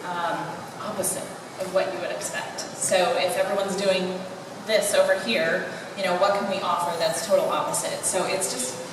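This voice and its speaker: A woman speaking as a creative design consultant on a panel, measured and thoughtful. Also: fairly low pitch, flat delivery. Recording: echoey hall from far off, noisy.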